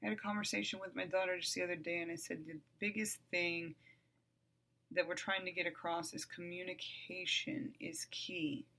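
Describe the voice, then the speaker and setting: A middle-aged woman hosting her own podcast, talking bluntly and with profanity in a calm, even voice that flares up loud at times. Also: lowish voice, moderate pace. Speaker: podcast host. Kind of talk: candid monologue.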